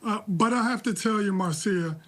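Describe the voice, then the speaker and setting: A man with an American accent giving live political analysis in a measured, deliberate, critical way. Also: high-pitched, a bit bright. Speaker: political analyst, interview guest. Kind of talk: live news commentary.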